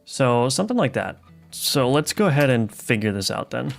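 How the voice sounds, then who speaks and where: A man's voice: medium pitch, big pitch swings, normal speed, creaky. A man streaming live as a game developer, talking casually and thinking aloud with many fillers and restarts while he codes. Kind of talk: live coding stream, reading chat. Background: soft lofi music.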